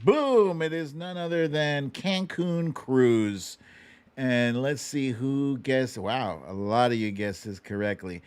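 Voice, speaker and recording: A man's voice: medium pitch, takes it slowly, clear, resonant tone, no echo. A man hosting a casual livestream quiz, chatty and playful as he banters and calls out to viewers. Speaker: host of a live online show.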